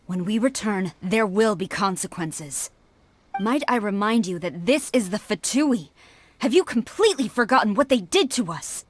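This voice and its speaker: A woman's voice: mid-range voice, animated, moderate pace, a little hiss or hum, natural voice. A woman playing a stern, dutiful, proud military commander in a video game, speaking formally and with authority. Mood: stern, angry.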